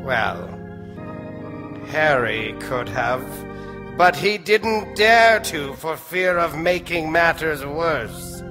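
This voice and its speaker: A man playing an eerie, slyly macabre doctor who narrates an old-time radio horror serial in a theatrical, drawn-out, ominous way. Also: fairly high pitch, lively intonation. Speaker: narrator and host of a mystery serial. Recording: reverberant stage hall, music behind.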